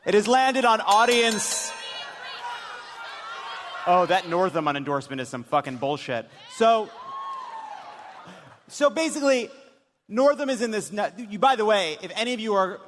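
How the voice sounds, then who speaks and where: A man's voice: sits high, lively intonation, moderate pace, pauses often, a bit bright, some chatter behind. A man hosting a live political comedy panel with sarcastic, profane, emphatic banter. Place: stage in a live venue. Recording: echoey hall, noisy live stage sound.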